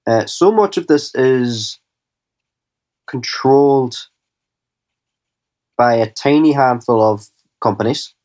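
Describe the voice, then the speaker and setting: A man interviewing a guest, putting measured, probing questions to him. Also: mid-range voice, darkish tone.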